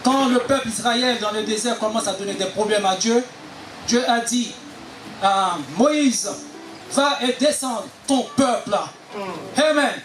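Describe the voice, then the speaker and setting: A man's voice: very high voice, unhurried, fairly bright tone, roomy sound, soft background music, poor audio. A man preaching as a guest, exhorting the congregation and punctuating his phrases with amens. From Haiti. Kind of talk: sermon with call and response.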